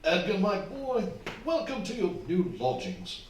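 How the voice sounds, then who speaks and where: A man's voice: high voice, huge pitch swings, measured pace, far from the mic, exaggerated voice. An actor playing a wealthy, self-satisfied, genteel husband in his fifties in a staged radio drama. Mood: genial. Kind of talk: staged old-fashioned radio drama acting. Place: theatre hall, distant microphone. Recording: echoey stage recording from afar, faint noise.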